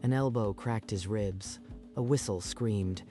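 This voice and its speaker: A man with a low, soft-edged voice delivers dramatic scripted narration over faint background music. He sounds like the storyteller of an action tale. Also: unhurried.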